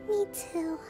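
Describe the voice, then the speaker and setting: An actress playing a gentle, dutiful young leader in a video game, polite and formal with a quiet weariness. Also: female voice, very high-pitched, heavily acted. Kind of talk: English video game voice acting. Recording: music behind the voice.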